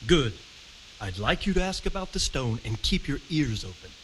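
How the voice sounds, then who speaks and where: A man playing a wary, troubled medieval lord in a video game, speaking with measured authority. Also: mid-range voice, big pitch swings, fairly bright tone, a little hiss or hum, low-quality recording. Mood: considering, commanding. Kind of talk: cinematic game dialogue.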